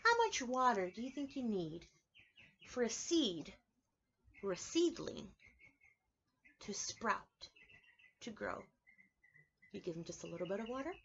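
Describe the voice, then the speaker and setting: A woman's voice: on the high side, sing-song, a little slow, long pauses, a bit bright, a little hiss or hum. Woman teaching preschoolers, slightly dull recording. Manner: instructive, spelling out and emphasizing words for young children. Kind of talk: children's read-aloud lesson with explanations and questions.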